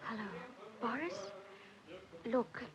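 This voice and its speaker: A woman's voice: fairly high pitch, lively intonation, slow pace, a crowd in the background. A haughty young society woman. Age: young adult. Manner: crisp, haughty indignation. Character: spirited young upper-class English woman. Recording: dull, hissy 1930s film soundtrack.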